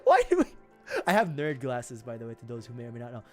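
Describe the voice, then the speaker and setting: A man's voice: mid-range voice, huge pitch swings, fast. A young man with a Filipino accent, chatting casually on his livestream in rambling, giggly asides. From Philippines. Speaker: streamer. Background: faint music.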